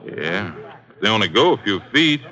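Deep, very sharp-voiced small-town lawman. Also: sing-song, normal speed, low-quality recording. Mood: matter-of-fact. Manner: clipped, folksy, matter-of-fact. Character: plainspoken, careful small-town male lawman with deputies. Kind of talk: 1950s radio crime drama acting.

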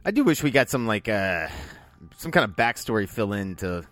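A man's voice: on the low side, very animated, normal speed, fairly bright tone, no room sound. Joking man in casual podcast chat. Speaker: podcast co-host. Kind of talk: casual movie review chat.

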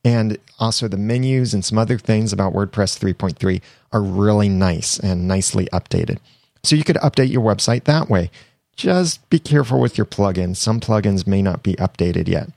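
A man's voice: on the low side, expressive, normal speed, creaky, a little mellow, no echo, clean studio sound. Chatty young man hosting a podcast. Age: young adult. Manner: chatty, upbeat, enthusiastic. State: getting over a cold. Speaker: podcast host. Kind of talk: solo podcast tips monologue.

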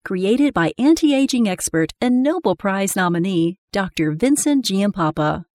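Female commercial announcer, animated intonation, dry studio sound, scripted radio read. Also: medium pitch, no room sound. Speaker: advertisement announcer.

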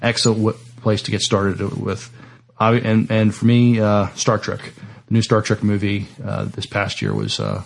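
A man co-hosting a podcast, talking casually in a halting, hesitant way. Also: on the low side, creaky. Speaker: podcast co-host. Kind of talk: casual list rundown and chat.

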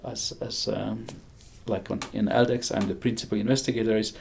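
A man's voice: mid-range voice, vocal fry, darkish tone, a little hiss or hum. A man in his sixties with a Hungarian accent, talking enthusiastically in an interview, chuckling often and restarting his sentences. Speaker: physicist interviewee. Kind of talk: conversational interview.

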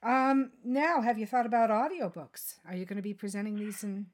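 A woman's voice: a little high, fairly quick. Chatty woman hosting a podcast interview. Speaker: podcast host. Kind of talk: conversational author interview.

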